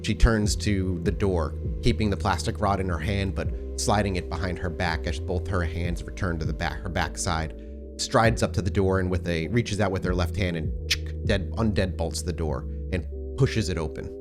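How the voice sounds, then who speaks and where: A man with a low voice runs a tabletop game as storyteller. He describes scenes deliberately, halting as he improvises. Music plays clearly behind him. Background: music bed.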